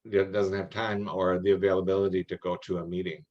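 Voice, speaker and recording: A man's voice: low-pitched, flat delivery, brisk, highs cut off. An older, retired American man explaining things in a meeting, emphatic and given to repeating words for weight. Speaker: workshop presenter and judge trainer.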